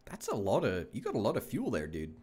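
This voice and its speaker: A man's voice: lowish voice, huge pitch swings, quick pace, a little mellow, husky. A man hosting a gaming video, chatting casually with wry, self-deprecating remarks. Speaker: gaming channel host. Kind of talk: casual let's-play commentary.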